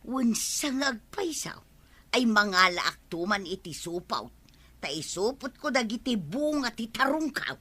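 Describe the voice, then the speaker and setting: A woman's voice: fairly high pitch, sing-song, a little slow, pushed and bright, slightly rough, full character voice. A woman playing a chatty, fussing village housewife with an Ilocano accent in a radio comedy drama.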